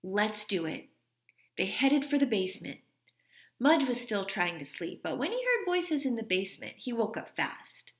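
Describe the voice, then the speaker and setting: A woman reading a children's story aloud expressively and deliberately, as a teacher speaking to young listeners. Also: fairly high pitch, big pitch swings.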